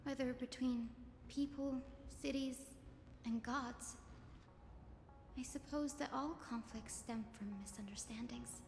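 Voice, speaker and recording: Gentle, echoing fantasy game heroine. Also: female voice, high-pitched, steady tone. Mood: reflective, gentle. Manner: measured, reflective. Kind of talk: scripted game dialogue. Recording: echoey hall-like reverb. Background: game music.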